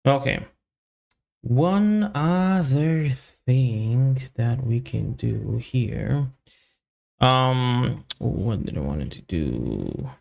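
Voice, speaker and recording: A man with a heavily gravelly, creaky voice talks himself through live coding. His delivery is extremely slow and halting, trailing into muttered fragments, while his pitch moves around quite a bit. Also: animated, a little mellow. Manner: halting, muttering, half-finished phrases. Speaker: live-stream coding host.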